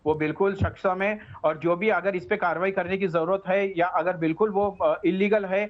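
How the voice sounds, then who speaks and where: A dull, lo-fi remote feed carries a high-pitched man. He is a political spokesman in a live TV debate, defensive and repetitive as he explains his position. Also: steady tone, normal speed. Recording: dull, band-limited remote audio feed.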